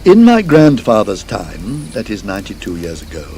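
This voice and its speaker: A man voicing a reluctant Swedish innkeeper who tells a grim tale in a slow, halting, emphatic way, in an audiobook. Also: on the low side, wildly swooping pitch, mellow, slight background noise. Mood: grave, reluctant. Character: Swedish innkeeper, reluctant, grave, warning storyteller.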